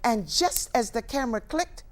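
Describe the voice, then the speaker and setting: An older actress playing a wry, grieving, defiant ageing woman in a theatrical monologue, with deliberate pauses and emphatic delivery. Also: fairly high pitch, expressive, close to an everyday voice. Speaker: stage actor performing a monologue.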